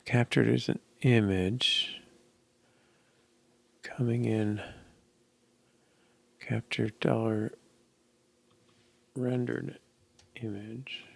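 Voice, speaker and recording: A middle-aged man thinking aloud as he codes, musing and halting as if muttering to himself, in casual commentary. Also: mid-range voice, steady tone, vocal fry, no echo. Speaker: programmer live-coding. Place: indoor room at a desk.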